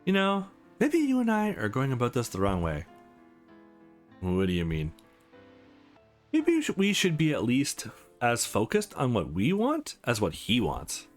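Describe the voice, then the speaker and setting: A man's voice: medium pitch, huge pitch swings, unhurried. A man voicing awkward, wry young women who are rivals in love in a visual novel. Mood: apologetic, teasing. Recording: music under the voice.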